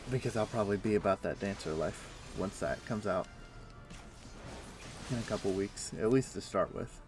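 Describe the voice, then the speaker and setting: A man's voice: fairly low pitch, normal speed. A young man streaming a game from home, chatting casually with many fillers and restarts. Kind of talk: casual gameplay chat. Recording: music playing behind voice. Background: music.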